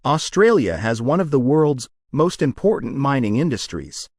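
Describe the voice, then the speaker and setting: A man narrates short study passages in a measured, deliberate way. His intonation moves freely up and down across each phrase. The sound is dry and close, with no room around it. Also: mid-range voice, sing-song, dry recording. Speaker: narrator of English test practice passages. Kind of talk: scripted read-aloud narration.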